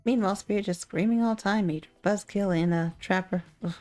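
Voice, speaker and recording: A woman's voice: mid-range voice, fairly quick, darkish tone, soft background music, natural voice. A woman voicing a guarded, boastful huntress from a dating sim game, blunt and proud. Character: guarded, boastful wild huntress raised in the woods.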